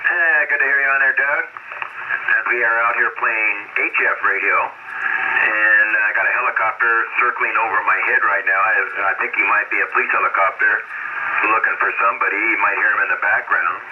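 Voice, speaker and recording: A man's voice: fairly low pitch, brisk. A man chatting casually and ramblingly as an amateur radio operator over shortwave radio. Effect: shortwave radio transmission. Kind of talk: casual ham radio chat. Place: outdoors in a hilltop park. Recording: narrow, very noisy shortwave radio signal.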